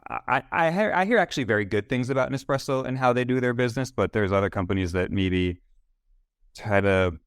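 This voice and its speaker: A male podcast guest, a native Spanish speaker, talking casually with animated restarts. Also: medium pitch, brisk, clean tone, no echo, studio quality. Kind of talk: casual interview chat.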